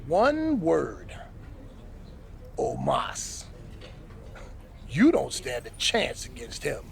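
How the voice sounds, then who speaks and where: Smug game wrestling manager, super gravelly, voice swoops around, taunting, slick schemer. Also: male voice, on the high side, wildly swooping pitch, slight background noise, exaggerated voice. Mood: smug, threatening. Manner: smug, drawn-out, taunting delivery. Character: sly, smug male wrestling manager scheming against a champion. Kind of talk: video game cutscene acting.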